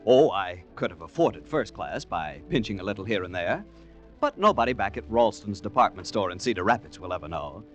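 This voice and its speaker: An actor playing a prim, eager-to-please store clerk, fussy and hesitant, narrating and acting in 1940s radio drama style. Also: male voice, on the low side, lively intonation, brisk, soft background music, natural voice. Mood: chatty, smitten.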